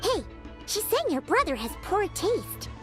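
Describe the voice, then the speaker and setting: A woman voicing a small, excitable, blunt female childlike companion in an anime game, squeaky and exclamatory. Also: measured pace, exaggerated voice. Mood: curious, teasing. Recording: game music behind the voice.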